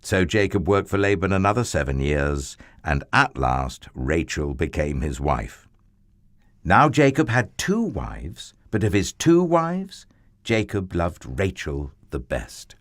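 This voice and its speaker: A man telling a children's Bible story in an expressive, theatrical way with drawn-out emphasis. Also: low voice, no echo, clean studio sound.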